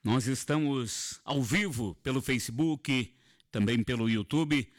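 Man, radio host, unhurried, repeats himself, studio. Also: medium pitch, faint music behind. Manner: unhurried, repeats phrases and numbers. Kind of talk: live radio interview.

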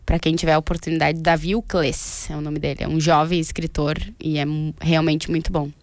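A woman's voice: deep voice, little pitch movement, brisk, no echo. A woman, chatting casually about books she reads and laughing easily. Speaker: guest talking about books. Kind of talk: casual chat.

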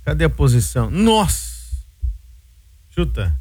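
A man's voice: fairly high pitch, big pitch swings, a little slow, a little mellow, slightly rough, no echo. A man with a Brazilian accent co-hosting a radio show, reading out listener messages in a casual way. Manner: casual, reads messages aloud. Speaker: radio co-host.

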